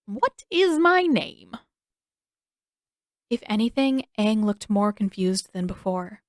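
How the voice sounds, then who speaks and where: A woman narrating a fan fiction story aloud in a steady, unhurried way. Her voice sits at a middle pitch for a woman. The recording is close and very dry, with no room echo. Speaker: podfic reader.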